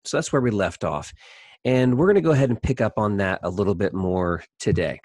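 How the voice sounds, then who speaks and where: Man, lowish voice, dry close sound, informal Bible teacher, conversational storytelling, thinks aloud. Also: fairly quick, darkish tone, dry recording. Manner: conversational, thinking aloud, acting out imagined dialogue.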